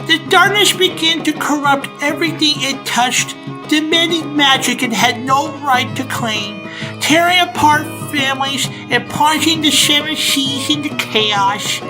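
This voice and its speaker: A woman's voice: high voice, rough recording. A woman playing a solemn, hopeful storyteller narrating a fairy tale in a cartoon comic dub, over music. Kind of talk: scripted dramatic narration. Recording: music behind the voice.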